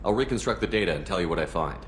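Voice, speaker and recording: An actor playing a calm, decisive male military commander in a video game, speaking firmly and directly. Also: deep voice, very fast, slight background noise. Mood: businesslike. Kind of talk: scripted video game dialogue. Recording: music behind the voice.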